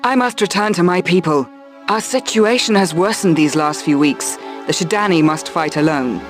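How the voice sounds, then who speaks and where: Woman playing a stern, low-voiced warrior woman. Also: moderate pace, faint music behind, lightly acted. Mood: stern, defiant. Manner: firm, formal, solemn. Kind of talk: video game cutscene acting.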